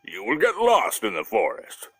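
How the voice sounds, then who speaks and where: A man acts out a huge storybook bear, bossy and easily fooled. The delivery is emphatic and demanding, with big swoops in pitch, in a dry, close recording. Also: wildly swooping pitch, normal speed, a bit bright, slightly rough, dry recording, full character voice. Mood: commanding. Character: huge gruff male bear, bossy and gullible.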